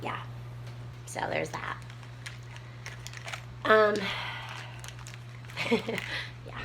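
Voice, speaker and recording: A woman with a small, soft voice, chatting casually to her viewers from home with lots of ums while she tidies up. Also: very slow, background noise. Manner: rambling, many ums, homespun talk. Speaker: prepping vlogger. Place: home room, tidying a table.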